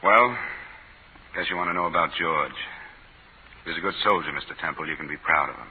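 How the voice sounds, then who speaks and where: An actor playing a laconic, world-weary American ex-army major, speaking with understatement in 1940s radio drama style. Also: male voice, low voice, brisk. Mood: subdued. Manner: terse, understated. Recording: narrow, noisy 1940s radio recording.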